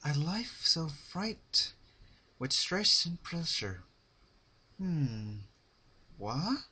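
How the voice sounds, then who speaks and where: Man as a female fashionista pony, raised pitch, refined, dreamy, theatrical, cartoon fan fiction. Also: very animated, extremely slow, sharp tone, breathy edge, exaggerated voice. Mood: puzzled. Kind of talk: fan fiction dramatic reading.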